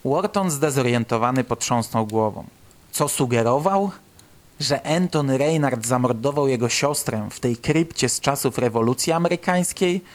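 A man's voice: medium pitch, animated, moderate pace. A man reading scripted, literary narration for an audio drama.

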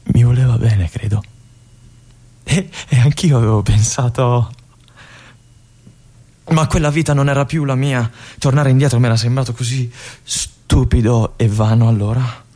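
An actor playing an ailing, forsaken veteran lieutenant at a mountain fortress in a theatrical monologue, halting and repeating his words with brooding bitterness and yearning. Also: medium pitch, fairly even intonation, dark tone, close to an everyday voice. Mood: weary, resigned. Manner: halting, repeating words, brooding, swinging from whispers to anguished cries. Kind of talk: theatrical monologue, literary stage drama acting.

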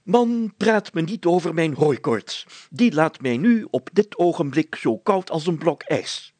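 Picture a pompous village mayor out of a storybook, acted out by a male reader. He declaims grandly and takes offence easily. His voice is pushed up high, with a rough, husky grain. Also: animated, unhurried, a little mellow, dry recording. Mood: grandiose. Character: pompous, blustering village mayor with poetic airs. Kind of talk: storybook character voice.

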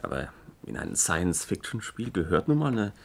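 An actor playing a skeptical, probing radio presenter in a German radio play, talking informally with hesitant, questioning phrases. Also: male voice, low-pitched, big pitch swings, moderate pace, gravelly, slightly rough, exaggerated voice. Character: skeptical, probing male radio presenter chatting over coffee.

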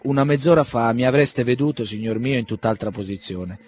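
Casual: guy reading doing a gushy old country doc, super polite, stammery, kinda high. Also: brisk, a little mellow, lightly acted. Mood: hearty. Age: older. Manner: effusive, stammering, ceremonious. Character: fussy, eager old country doctor, proud father.

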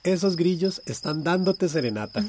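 A man acting a gentle, sincere, flirtatious suitor in a radio soap opera. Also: a little high, expressive, normal speed, background noise, natural voice. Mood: tender, flirtatious. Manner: tender, sincere. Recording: faint night crickets behind voice.

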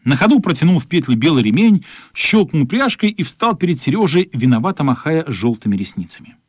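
A man's voice: fairly high pitch, very animated, a little fast, husky, dry recording, clean studio sound. A man narrating a radio play as a scripted story.

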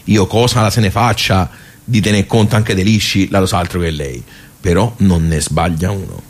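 A man's voice: low-pitched, moderate pace. A man acting a radio monologue as a wry, rueful, talkative social club regular, with a Tuscan accent and a rambling, colloquial way of asking and answering himself. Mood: boastful, amused. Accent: Tuscan Italian.

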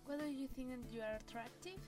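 Giggly female vlogger, higher voice. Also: quick pace, over music. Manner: giggly, asks short questions. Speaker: vlogger interviewing her boyfriend. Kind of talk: casual vlog interview.

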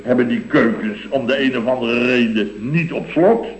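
A man's voice: mid-range voice, moderate pace, natural voice. An actor playing an indignant, blustering building-firm boss in a radio comedy, exclaiming and repeating himself as he grumbles. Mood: exasperated. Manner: exclamatory, repetitive, grumbling storyteller. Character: blustering, indignant male construction-company boss recounting weekend mishaps. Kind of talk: scripted radio comedy acting. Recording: dull, roomy old radio recording.

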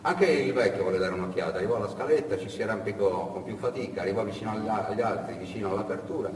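A man narrating a tale theatrically, with emphatic delivery and dramatic pauses. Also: fairly low pitch. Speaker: actor and storyteller. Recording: echoey hall, distant mic, faint noise.

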